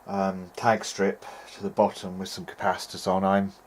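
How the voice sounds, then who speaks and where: A man with a lowish voice talks informally through hands-on repair work. He is hesitant and seems to work things out as he speaks. The recording carries noticeable background noise. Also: normal speed. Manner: hesitant, thinking aloud. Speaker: hobbyist radio restorer, presenter. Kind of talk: informal workbench commentary. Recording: clear steady background noise.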